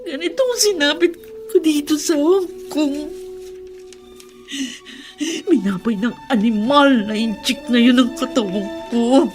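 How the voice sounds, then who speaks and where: A sobbing migrant maid. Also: female voice, high voice, expressive, measured pace, long pauses, soft background music. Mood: anguished. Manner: distraught sobbing, then hushed and forced cheer. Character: anguished young domestic helper abroad, wife and mother. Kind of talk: radio drama acting.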